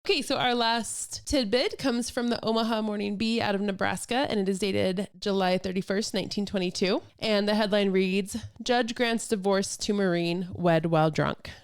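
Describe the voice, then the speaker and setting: A woman's voice: medium pitch, normal speed, hardly any pauses, clean tone. A woman hosting a casual podcast, telling stories from old news items in a chatty way with amused asides. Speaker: podcast host.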